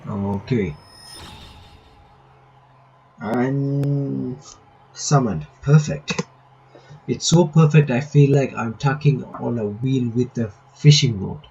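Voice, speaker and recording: A man's voice: mid-range voice, takes it slowly, creaky, long pauses, mellow, highs cut off, a little hiss or hum. A man reading visual novel text aloud with casual commentary, breaking off to remark and reread lines. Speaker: gaming reaction video maker.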